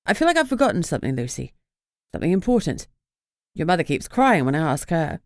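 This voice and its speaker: A woman's voice: deep voice, sing-song, quick pace, darkish tone, no room sound, studio quality. A woman voicing a lost, confused older British father. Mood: bewildered.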